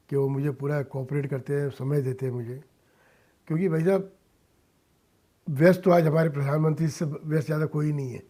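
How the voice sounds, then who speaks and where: A man with a fairly high, very mellow voice is being interviewed in a radio studio. He speaks earnestly in short, halting phrases and leans on his points with emphasis. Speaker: interview guest, industrialist and philanthropist. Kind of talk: earnest interview answers mixing Hindi and English.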